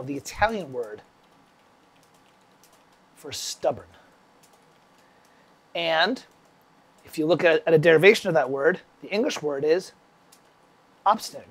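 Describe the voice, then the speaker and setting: A man's voice: on the high side, creaky, breathy edge. A man with a stuffy summer cold, teaching music composition on a casual livestream, rambling and chatting with viewers by name.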